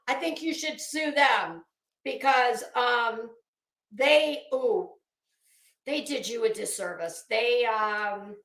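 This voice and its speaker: High-pitched woman ranting in an echoey room. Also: fairly bright tone. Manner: blunt, profane, mocking. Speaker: livestream host. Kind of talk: casual livestream rant, chatting to viewers. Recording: roomy, echoey sound.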